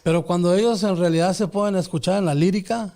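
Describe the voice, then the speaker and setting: Higher-voiced Chicano man chatting casually. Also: fairly even intonation, rapid-fire, gravelly, warm tone. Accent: Chicano Californian. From Southern California, United States. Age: around 40. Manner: informal, slangy code-switching. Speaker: rapper, podcast guest. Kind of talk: casual chat switching between Spanish and English.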